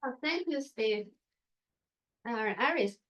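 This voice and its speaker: A woman's voice: fairly high pitch, expressive, slow, fairly bright tone. A woman moderating a panel and introducing the speakers informally. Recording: dull lo-fi sound.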